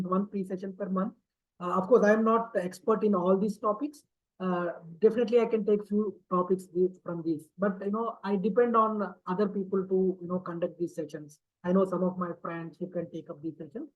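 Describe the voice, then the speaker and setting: A man hosts an online session, talking haltingly with many fillers and tag questions. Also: high voice. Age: 50s. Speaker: session host. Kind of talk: online talk to a community group. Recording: dull lo-fi audio.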